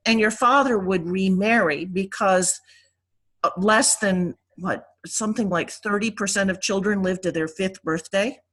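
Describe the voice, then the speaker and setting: Casting: female priest leading a study class, mid-range voice, deliberate explanatory delivery with pauses. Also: medium pitch, a bit bright. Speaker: priest teaching a Bible class. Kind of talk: informal explanatory teaching.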